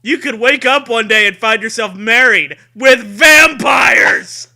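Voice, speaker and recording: Male comedy host, bright forceful delivery, unhurried pace, hammy read-aloud of parody fiction. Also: very high-pitched, vocal fry. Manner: theatrical, breaks into laughter while reading.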